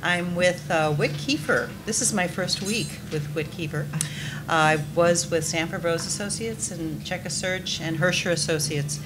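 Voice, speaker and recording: A soft-voiced woman with a very low pitch introduces herself on a panel. She speaks gently, with light laughing asides. Loud room noise runs behind her the whole time. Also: moderate pace. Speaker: recruiter.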